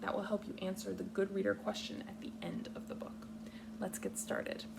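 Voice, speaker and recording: A woman leading a read-aloud lesson for young children, speaking patiently in simple, deliberate, instructional phrases. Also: medium pitch, monotone, creaky, whispered. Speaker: kindergarten teacher reading a picture book aloud.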